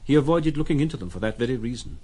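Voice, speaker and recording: An actor as a reflective narrator of a literary audio drama, measured and expressive. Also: male voice, medium pitch, husky. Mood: wistful.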